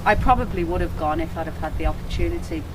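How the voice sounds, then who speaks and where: A woman's voice: fairly low pitch, fast talker. A woman giving a candid, earnest interview, thinking aloud as she goes. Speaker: freelance journalist being interviewed. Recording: loud wind and boat engine noise.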